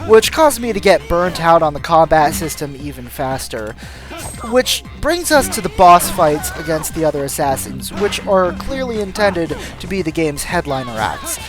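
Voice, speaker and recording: A narrator reading a scripted video game review as the host, with dry, self-deprecating humour. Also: medium pitch.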